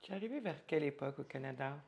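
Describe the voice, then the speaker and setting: Low-voiced, lively woman interviewer. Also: very fast, creaky. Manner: hesitant, many fillers, encouraging.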